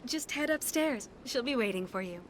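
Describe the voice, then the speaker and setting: A woman's voice: fairly high pitch, expressive, normal speed, soft background music, natural voice. A woman voicing a courteous receptionist in a video game, professional and politely guarded. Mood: obliging. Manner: courteous, professional, slightly coy. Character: polite young alien receptionist, politely gatekeeping.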